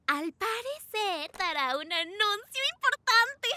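A woman's voice: very high voice, lively intonation, slow, sharp tone, full character voice. A woman voicing an upbeat, optimistic young girl baker in a children's cartoon dub, perky and eager, with a Latin American Spanish accent. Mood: excited. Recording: music playing behind the voice.

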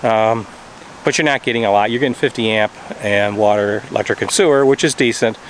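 A man's voice: lowish voice, brisk, a little hiss or hum, poor audio. Middle-aged man vlogging outdoors. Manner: plain, practical, explaining to viewers. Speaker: travel vlogger. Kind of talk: casual travel vlog talk. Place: outdoors at a campground. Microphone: camera held at arm's length.

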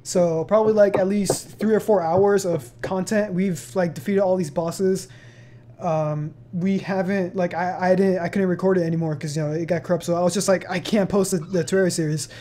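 A man's voice: high-pitched, fairly even intonation, a little fast, mellow, slight background noise. A seventeen-year-old young man hosting a casual question-and-answer chat on his channel, rambling with many fillers and laughs. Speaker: channel host.

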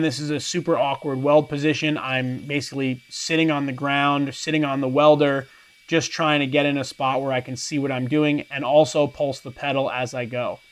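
A man in his thirties, a welder and shop owner, talking through his work in a relaxed, practical, matter-of-fact way. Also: medium pitch. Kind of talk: explanatory how-to narration. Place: metal workshop, indoors.

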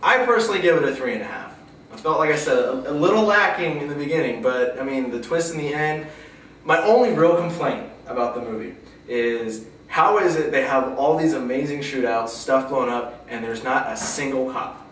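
A young man hosting a casual movie review, loose and chatty, often trailing off low. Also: brisk, fairly bright tone. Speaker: host of a movie review show. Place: small indoor studio with a poster backdrop. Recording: echoey room, mic far off, faint hiss.

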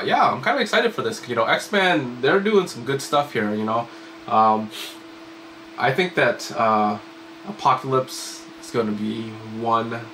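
A man's voice: lowish voice, slight background noise, low-quality recording. A young man in his twenties casually reacting to a trailer in a small home room, rambling with lots of fillers and sounding slightly sick. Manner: rambling, filler-heavy, excitable. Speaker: reaction video host. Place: small home room with computer desk, indoors.

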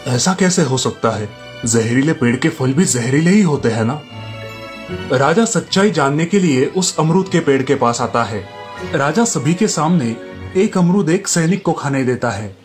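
A man reading scripted narration for a children's moral story. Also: on the high side.

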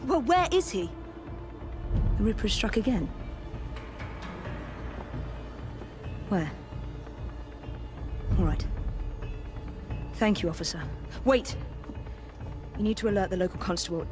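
A woman's voice: mid-range voice, sing-song, unhurried, pauses often. Determined victorian english assassin lady, clipped and intense, game drama w music behind. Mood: urgent. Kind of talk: dramatic video game acting.

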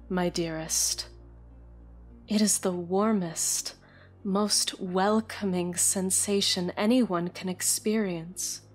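A woman playing a bitter, sorrowful vampire in an audio drama, delivering a measured, solemn monologue. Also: medium pitch. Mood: solemn, soothing. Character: bitter, sorrowful female vampire, former agent. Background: faint music.

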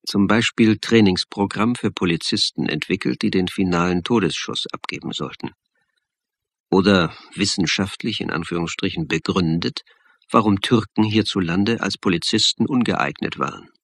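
An actor playing a calm, wry police lecturer and investigator in a radio crime drama, with a husky voice. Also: male voice, sits low, moderate pace, no echo, exaggerated voice. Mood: wry, reflective. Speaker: actor, narrator and lead. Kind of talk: radio crime drama acting and first-person narration.

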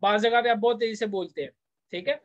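A man giving an informal lecture as a teacher, explaining point by point and slowing down for English phrases. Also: high-pitched, dry recording. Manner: explanatory, slows down when reading English phrases. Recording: dull, lo-fi close recording.

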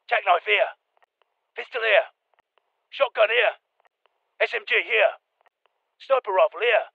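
An actor playing a tough, focused British special forces soldier in a military video game, giving clipped, commanding combat callouts. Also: male voice, high voice, measured pace, gravelly, slightly rough, no room sound, phone-quality sound, heavily acted. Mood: businesslike. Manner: clipped, commanding, terse radio-style callouts.

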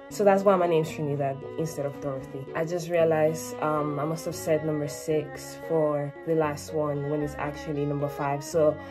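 A woman's voice: lowish voice, a little mellow. A teenage girl born in Spain, talking casually to camera from her small bedroom, with joking asides to herself. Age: teens. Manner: chatty, self-mocking asides. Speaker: vlogger. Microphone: phone or webcam at arm's length.

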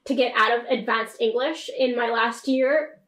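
A young woman from Quebec telling animated stories on a casual podcast, acting out what others said. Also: fairly high pitch, moderate pace, echoey room. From Quebec, Canada. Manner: animated, acts out quotes of others. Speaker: podcast co-host. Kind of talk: casual chat, storytelling. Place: small room at home, indoors.

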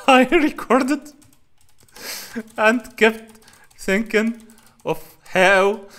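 A man's voice: high-pitched, sharp tone. A man in his thirties, a native Arabic speaker, chatting casually while answering viewer comments, rambling with many fillers and restarts, on a headset mic in a small room at home. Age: 30s. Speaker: channel host answering comments.

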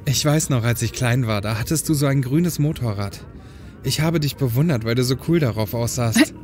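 A man's voice: medium pitch, normal speed, darkish tone, soft background music, close to an everyday voice. A man playing a young, admiring younger brother in an audio drama, talking in a casual, curious way. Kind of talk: German audio drama acting.

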